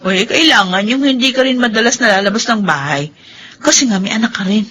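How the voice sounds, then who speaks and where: A woman's voice: a little high, lively intonation, brisk, a bit bright. A woman playing a practical, encouraging young adult elder sister in radio drama dialogue. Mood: chatty, encouraging.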